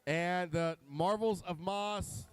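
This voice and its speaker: A man with a notably high voice commentates on a robotics match at a live competition. He explains rules and wiring in a technical, explanatory way, often pausing on fillers mid-sentence. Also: clear, resonant tone, no echo. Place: competition venue.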